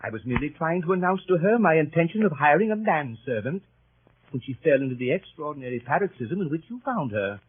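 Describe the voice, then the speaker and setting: An actor playing a fussy, earnest gentleman with a formal, hesitant manner, in old radio drama style. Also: a little high, expressive, fast, breathy edge. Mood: bewildered. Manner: formal, hesitant, stammering indignation. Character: fussy, earnest, easily flustered English gentleman club leader. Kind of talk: 1930s radio acting. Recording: muffled, scratchy 1930s radio broadcast.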